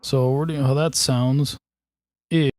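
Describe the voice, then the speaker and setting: A man's voice: medium pitch, fairly even intonation, slow, darkish tone, no room sound. A man casually talking through a song mix as he works, thinking aloud in a loose, offhand way with lots of fillers. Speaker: mixing engineer. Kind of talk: casual walkthrough of mixing a song.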